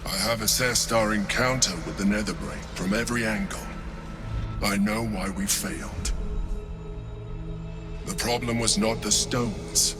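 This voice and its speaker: Casting: actor for calculating male mind flayer, game drama, deep-leaning, slow, commanding, patient. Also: fairly even intonation, music in the background, close to an everyday voice. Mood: cold insistence. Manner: measured, superior, deliberate. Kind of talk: video game dramatic acting.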